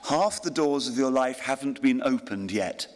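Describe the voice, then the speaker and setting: A man in his sixties giving a graduation address at a ceremony, self-deprecating, with pauses and ums. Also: medium pitch, expressive. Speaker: honorary graduate giving a speech. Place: large hall at a graduation ceremony. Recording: long hall reverb.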